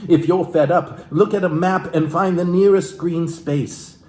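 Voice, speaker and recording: A man from Alaska giving a comedic, theatrical book reading as host, florid and mock-genteel, with dramatic pauses and asides to his viewers. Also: high-pitched, steady tone, a little mellow, slight background noise. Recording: roomy, echoey room sound.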